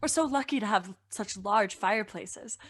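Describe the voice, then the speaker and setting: A woman's voice: medium pitch, moderate pace, lightly acted. A woman playing an earnest, tender-hearted young daughter in an old-time radio drama, with a girlish manner. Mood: gentle, earnest. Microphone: microphone on a video call.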